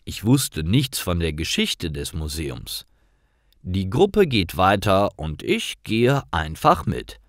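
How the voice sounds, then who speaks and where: A man's voice: low voice, sing-song, slow, no echo, clean studio sound. A man narrating simple scripted stories for language learners, carefully, in short sentences.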